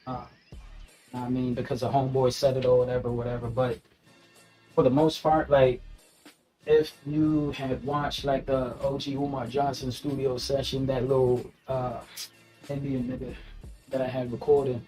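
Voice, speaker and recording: A man's voice: mid-range voice, level tone, normal speed, mellow, faint music behind, poor audio. A man chatting casually in an interview, laid-back and slangy, laughing often and filling with "you know what I mean.". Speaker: rapper guest.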